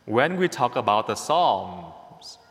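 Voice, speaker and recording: A man with a Vietnamese accent, a priest preaching a homily at Mass, who talks slowly, repeats words and often checks in with "okay?". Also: medium pitch, very animated. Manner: slow, repeats words, speaks to the congregation with a gentle "okay?". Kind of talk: sermon. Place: church. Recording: long church reverb.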